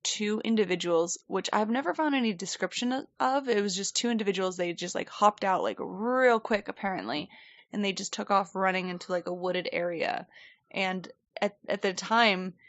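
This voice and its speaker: A woman's voice: medium pitch, moderate pace, no echo, muffled recording. A woman co-hosting a podcast, telling a story in a chatty, rambling way and laughing often. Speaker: podcast co-host. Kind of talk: casual storytelling chat. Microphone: desk microphone.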